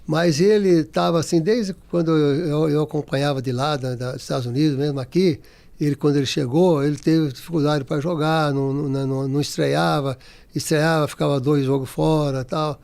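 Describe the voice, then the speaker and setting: A Brazilian man in his sixties, a former footballer, being interviewed in casual talk, halting, with many restarts. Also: a little high, steady tone, creaky, darkish tone. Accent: Brazilian Portuguese.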